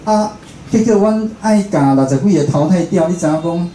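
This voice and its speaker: A man's voice: sits high, animated, normal speed, mellow. Taiwanese man lecturing in an echoey room. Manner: conversational, explanatory, checking in with listeners. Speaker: radio host and station director giving a talk. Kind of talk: informal public lecture. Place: indoor room at a public talk. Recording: roomy talk venue with faint noise.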